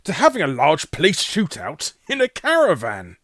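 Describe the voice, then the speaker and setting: A man's voice: on the high side, huge pitch swings, moderate pace, a bit bright, no echo. A man hosting a video game list show with scripted comic narration and theatrical, jokey emphasis. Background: light background music.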